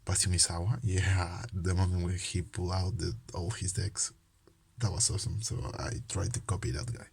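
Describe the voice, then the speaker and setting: Deep-voiced Peruvian young man, hesitant. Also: little pitch movement, moderate pace, gravelly, darkish tone, husky. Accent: Peruvian Spanish. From Peru. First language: Spanish. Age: young adult. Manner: hesitant, many fillers and restarts, self-deprecating. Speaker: channel host, medical student. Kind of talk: casual card game video talk.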